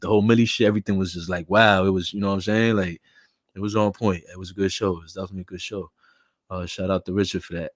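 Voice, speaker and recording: Raspy New man hosting a live show. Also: fairly low pitch, fairly bright tone, no echo, clean studio sound. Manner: loose, laid-back slang, thanking viewers by name. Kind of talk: casual livestream chat.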